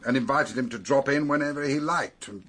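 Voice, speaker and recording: An actor playing an authoritative, wry police superintendent in a British radio drama, setting out facts in an orderly, emphatic way with a British accent. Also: male voice, mid-range voice, a bit bright, slight background noise, natural voice. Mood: wry, explanatory. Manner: measured, emphatic, expository.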